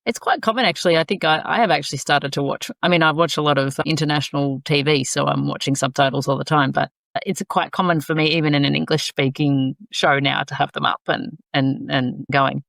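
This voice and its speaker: Friendly conversational host, gravelly voice, mid pitch. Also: fairly even intonation, brisk, a bit bright, no room sound, studio quality. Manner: friendly, conversational, laughs along. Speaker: podcast host. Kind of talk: podcast interview.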